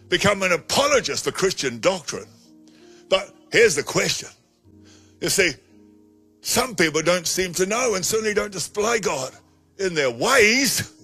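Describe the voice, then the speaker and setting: A man in his sixties delivers a sermon from a studio stage, leaning hard on key phrases and slowing down for emphasis. His voice is bright and slightly husky. Faint music plays beneath him. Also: high voice. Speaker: pastor. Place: studio stage with colored backdrop. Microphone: handheld mic.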